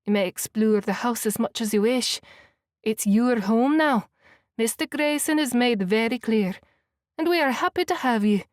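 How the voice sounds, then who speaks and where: A woman's voice: on the high side, no room sound, clean studio sound, natural voice. A woman voicing a kindly, fussing middle-aged housekeeper with a Scottish accent in an audiobook. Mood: doting. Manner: chatty, doting, brisk. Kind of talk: audiobook character voice.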